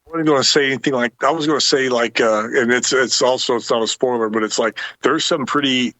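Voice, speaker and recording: A man with a mid-range voice talks casually on an online call. His delivery is informal and halting, circling back over the same words, with a dry, close sound. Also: mid-range voice, fairly even intonation, pushed and bright, no room sound. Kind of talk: casual chat.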